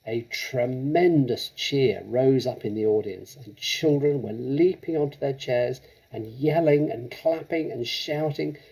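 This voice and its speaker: Gravelly man reading a story. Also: mid-range voice, measured pace, few pauses, darkish tone, low-quality recording. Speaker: storybook reader. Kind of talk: expressive storybook narration.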